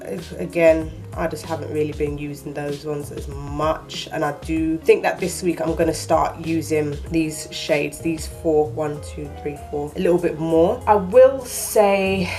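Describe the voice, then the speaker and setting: A woman chatting casually to her camera in a rambling, self-correcting way, her voice a little croaky from just waking up. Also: low-pitched, fairly even intonation, measured pace, few pauses, a little mellow, faint music behind. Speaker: beauty vlogger. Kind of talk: casual chat. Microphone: handheld camera, shaky framing.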